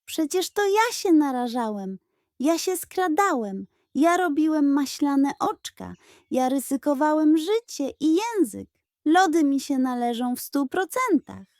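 A woman's voice: sits high, sing-song, a little slow, a little mellow, dry recording, clean studio sound, pitched above the performer's own voice, exaggerated voice. A woman voicing a greedy, cheeky male pug in a children's audio fairy tale, whiny and self-righteous. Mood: whiny indignant.

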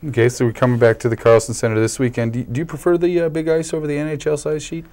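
A man's voice: a little high, level tone, rapid-fire, darkish tone. A young man hosting the sports segment of a local TV newscast, interviewing a guest in an upbeat broadcast manner with a few small stumbles. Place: small local TV news studio, indoors. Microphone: lapel mic.

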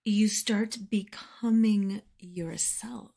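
A woman leading a guided meditation in a soft, breathy, soothing voice with measured phrasing and pauses. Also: mid-range voice, fairly even intonation. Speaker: meditation guide and podcast host.

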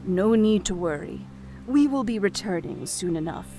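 An actress playing a calm, authoritative elder sage in an audio drama. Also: female voice, mid-range voice, moderate pace, slight background noise, lightly acted. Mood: calm, firm.

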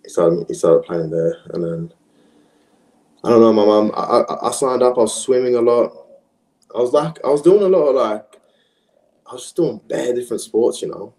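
A young man from Australia, whose first language was French, chatting casually as an interview guest in a relaxed, rambling way with many fillers. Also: medium pitch, moderate pace, pauses often. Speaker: interview guest, professional footballer. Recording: echoey room.

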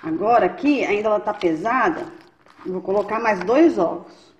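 A woman's voice: mid-range voice, animated, normal speed. A woman hosting a cooking video who explains each step and keeps checking in with her viewers. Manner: chatty, checks in with viewers often. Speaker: cooking channel host. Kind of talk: step-by-step recipe demonstration. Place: home kitchen. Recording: echoey kitchen with faint noise.

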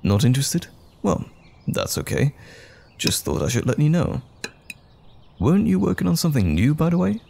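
A man playing a loving, caring boyfriend in an intimate comfort audio roleplay, speaking gently and soothingly with affectionate teasing. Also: a little high, sing-song, normal speed, vocal fry, long pauses, full character voice. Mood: encouraging.

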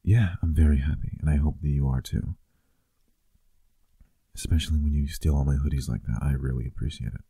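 A man's voice: rumbling low, steady tone, brisk, gravelly, long pauses, dark tone, husky, no echo, heavily acted. A man playing a teasing, affectionate boyfriend in an intimate, casual ASMR roleplay, murmuring playfully. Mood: tender.

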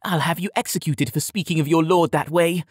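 Man acting a high-voiced, haughty young lordling boy. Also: fairly even intonation, brisk, breathy edge, dry recording, clean studio sound. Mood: haughty threatening. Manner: haughty, shrill and indignant. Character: spoiled, cowardly young lord's son, easily humiliated boy.

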